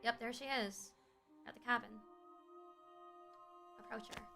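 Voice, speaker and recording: A woman's voice: fairly high pitch, steady tone, unhurried, vocal fry. A woman livestreaming a game, reacting expressively and hesitantly and reading lines aloud. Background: game music.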